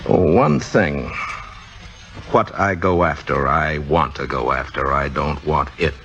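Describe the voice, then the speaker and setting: A man's voice: extremely low pitch, unhurried, a bit bright, heavily acted. An actor playing a blunt, loyal man in love, plainspoken and wry, in a 1950s radio drama. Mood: wry, firm. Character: blunt, loyal leading man, Broadway star's lover. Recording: dull, lo-fi old radio recording.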